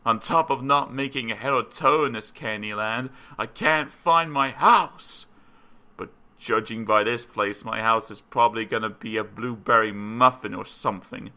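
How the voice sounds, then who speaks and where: A man's voice: medium pitch, unhurried, sharp tone. A man voicing a grumpy, sarcastic male cartoon character who grumbles dryly and is easily exasperated. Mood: dry, confused.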